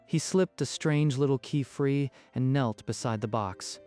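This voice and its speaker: A man with a medium-pitched voice narrates a scripted dramatic story. Music plays clearly behind his storytelling. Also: a little slow, dark tone.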